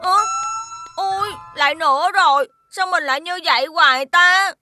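A woman's voice: very high-pitched, normal speed, bright, forceful tone, dry recording, clean studio sound, faint music behind, exaggerated voice. A woman voicing a small, childlike, earnest alien kid in a children's cartoon. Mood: puzzled, dismayed. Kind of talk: children's animated series dubbing.

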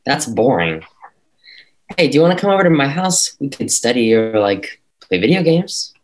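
A man's voice: mid-range voice, big pitch swings, normal speed, lightly acted. A young man playing an awkward, insistent teenage schoolboy at a script read over a video call. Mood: casual, teasing. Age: young adult. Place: room at home.

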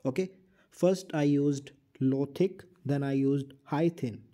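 A man's voice: mid-range voice, takes it slowly, dark tone. A man hosting a casual app tutorial, explaining things plainly step by step and repeating himself. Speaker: tutorial host.

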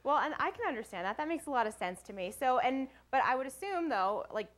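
A young woman hosts a studio morning show, her voice fairly high and swinging animatedly. She keeps the chat going with quick affirmations and encouragement. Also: sing-song, fairly bright tone. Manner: bubbly, encouraging, lots of affirmations. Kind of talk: chatty TV interview. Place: studio kitchen set.

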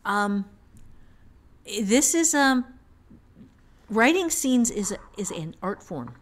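A woman giving unscripted teaching talk on a podcast, thinking aloud in a halting manner. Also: medium pitch, sing-song. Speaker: writing teacher, author.

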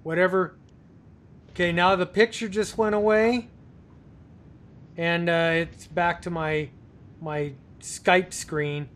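A middle-aged man with a notably high voice hosts a live online chat. He speaks slowly and haltingly, with a courteous, casual manner toward his guests. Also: fairly even intonation, fairly bright tone. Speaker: live show host. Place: small home office, indoors.

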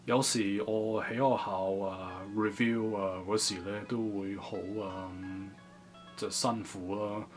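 A shy young man groping for words, played by a vlogger in a skit, halting with fillers. He speaks low, quiet and slow. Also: flat delivery, soft background music. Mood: hesitant. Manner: hesitant, halting, many fillers. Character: unsure young man fumbling his Cantonese.

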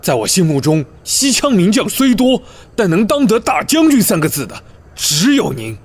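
An actor playing an eager, naive and loyal young servant, earnest and excitable, in an ancient-costume audio drama. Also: male voice, sits high, normal speed, breathy edge, full character voice. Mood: earnest, flustered.

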